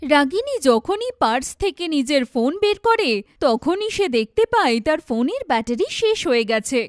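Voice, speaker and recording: A woman's voice: high-pitched, very animated, normal speed, no echo, clean studio sound. A woman giving scripted narration for a cartoon story.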